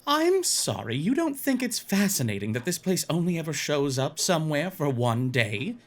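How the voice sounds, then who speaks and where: A man's voice: a little high, big pitch swings, normal speed. A man playing an enthusiastic, curious, teasing bard in a fantasy audio drama. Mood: fascinated. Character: excitable, curious male bard, a tavern patron.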